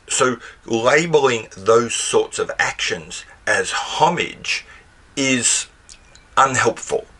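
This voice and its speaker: A man's voice: on the low side, pushed and bright. A man hosting a watch channel, talking casually to camera with a stuffed-up, slightly scratchy voice, wry and emphatic as he makes his point.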